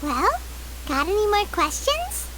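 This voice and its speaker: A woman's voice: very high voice, wildly swooping pitch, measured pace, exaggerated voice. A woman voicing a tiny, perky fairy companion in a video game, childlike, chirpy and chatty. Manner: chirpy, casual. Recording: loud background noise over game audio.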